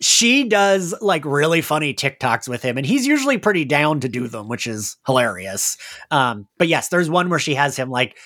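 A man co-hosting a podcast, chatting casually and excitedly through a recap with plenty of filler words. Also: on the high side, moderate pace. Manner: animated, giggly, frequent filler words. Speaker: podcast co-host. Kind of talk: casual chat recapping an audio drama.

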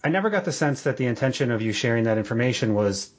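A man interviewing an author, setting up his questions in a measured, appreciative way with some halting restarts. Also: medium pitch, animated, lo-fi sound. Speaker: podcast interviewer.

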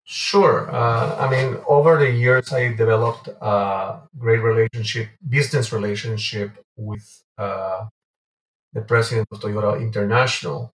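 Halting man in a roomy space. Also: lowish voice, steady tone, fairly bright tone. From Guatemala and Venezuela. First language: Spanish. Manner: halting, pausing often mid-phrase. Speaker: interview guest, entrepreneur. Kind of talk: conversational interview answers. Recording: roomy echo, distant from mic.

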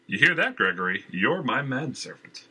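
A man's voice: mid-range voice, very animated, moderate pace, pushed and bright, slightly rough, voice pushed up, exaggerated voice. A man voicing a smug, mocking theater owner. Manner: mocking, falsely wounded.

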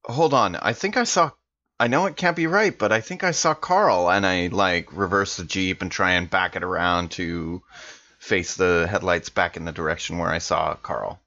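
A man's voice: low voice, moderate pace, sharp tone. A man playing a tabletop game, improvising casually in character, on a slightly dull recording.

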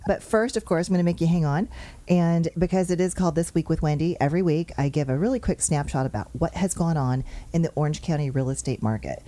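A woman hosting a talk show, briskly and cheerfully running through market numbers before interviewing a guest. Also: deep voice, dark tone. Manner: brisk, upbeat, reels off numbers. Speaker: show host and real estate agent. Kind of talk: chatty show monologue on market figures.

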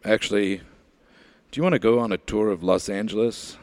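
A man's voice: lowish voice, brisk, close to an everyday voice. A man playing a shady, evasive doctor in improvised radio play comedy, talking in a casual, rambling, sheepish way. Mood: breezy.

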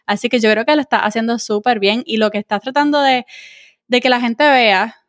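A woman interviewer with a Puerto Rican accent and a fairly high voice. She talks in a chatty, encouraging way, dropping in English words. The sound is dry, with very little room in it. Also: fairly even intonation, brisk, no room sound. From Puerto Rico. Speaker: interviewer, host. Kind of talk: casual conversational interview.

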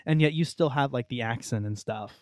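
Clear-voiced young man, chatting. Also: medium pitch, a little fast, darkish tone, dry recording, clean studio sound. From Texas, United States. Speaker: podcast co-host. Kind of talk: casual video game discussion.

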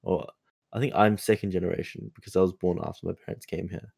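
A teenage boy, co-hosting a casual chat in a steady, thoughtful way and asking follow-up questions. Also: low-pitched, fast talker, vocal fry, no echo, studio quality. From New Zealand. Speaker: co-host.

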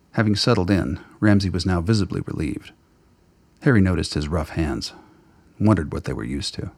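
A man's voice: sits low, slightly rough. A man narrating a radio play in a scripted, literary style.

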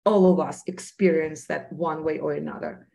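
A woman's voice: sits low, fairly even intonation, normal speed, vocal fry, warm tone. A woman who is a native Russian speaker with a Russian accent, talking earnestly and emphatically as a guest in a conversational interview, repeating phrases for emphasis. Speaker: podcast guest, entrepreneur.

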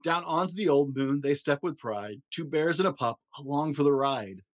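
A man hosting a story podcast, reading a rhyming children's picture book aloud in an expressive, rhythmic way. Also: on the high side, moderate pace. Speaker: podcast host and story reader.

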